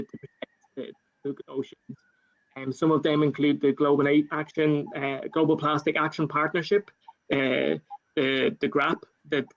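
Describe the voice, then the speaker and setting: A man with an Irish accent answering a panel question for his government, measured, with many fillers and restarts, over a video call. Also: mid-range voice, highs cut off. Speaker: panel speaker for a national government.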